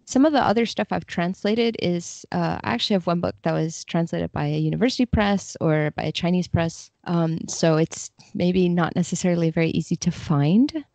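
A woman's voice: on the low side, normal speed, creaky, few pauses, darkish tone, no echo. A woman talking casually as an interview guest, explaining her work as a translator. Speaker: podcast guest, literary translator.

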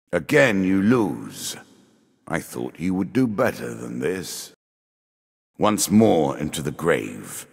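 An actor playing an ancient, immortal dealer in a fantasy card game, speaking in a theatrical, measured, ominous and condescending way. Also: male voice, a bass voice, vocal fry, husky, full character voice. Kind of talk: scripted fantasy game character lines. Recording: long reverb on the voice.

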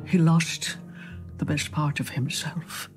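A documentary interviewee reflects very slowly and deliberately, returning to phrases for emphasis. Plucked guitar music is clearly heard behind the voice. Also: medium pitch, steady tone. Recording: music under the voice.

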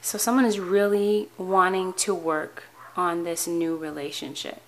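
A woman with a somewhat low, hoarse voice talks earnestly through a tarot spread, pausing and restarting her phrases. Her tone stays calm and steady. A faint hiss of room noise sits behind her words. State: hoarse, tired voice. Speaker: tarot reader. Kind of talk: weekly tarot reading to camera. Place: indoor tabletop with cards, voice off camera.

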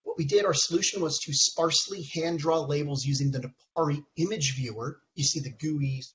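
A man in his thirties, hoarse from a cold, presenting research slides over a video call in a halting way, with many ums and restarts. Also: a little high, fairly bright tone, slight background noise. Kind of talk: technical conference talk. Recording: dull lo-fi call audio.

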